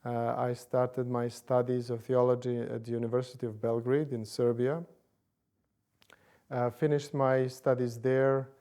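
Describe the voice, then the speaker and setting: A man in his forties from Bosnia and Herzegovina, speaking, answers interview questions in a measured way, with frequent fillers and hedges. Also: mid-range voice, little pitch movement, mellow. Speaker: interviewee, church commission director.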